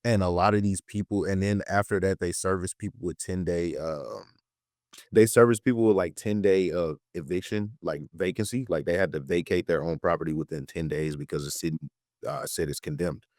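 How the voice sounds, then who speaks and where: Male podcast guest, late teens, deep voice, dry close sound, informal emphatic storyteller. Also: a little fast, a little mellow, no echo. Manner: informal, slangy, emphatic repetition. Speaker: podcast guest, political educator and content creator. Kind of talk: casual conversational storytelling.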